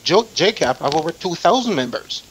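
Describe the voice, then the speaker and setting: A man's voice: fairly high pitch, very animated, brisk, slight background noise, poor audio. A man in casual panel discussion, often repeating words as he makes his point. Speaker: talk show panellist.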